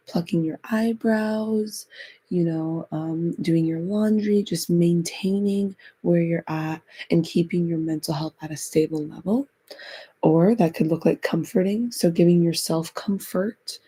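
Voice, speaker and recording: A woman recovering from being sick, raspy as she hosts a chatty, encouraging self-help podcast. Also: fairly low pitch, warm tone. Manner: chatty, encouraging, repeats phrases. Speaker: podcast host.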